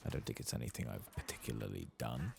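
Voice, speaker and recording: A British man with an extremely low voice, thick with creak and fry, speaks softly as he plays a game in ASMR style. He rambles in a low-key, musing way, close to the mic. A faint noise sits behind him. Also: quick pace. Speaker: gaming streamer, ASMR host. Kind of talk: soft-spoken ASMR game commentary, partly whispered.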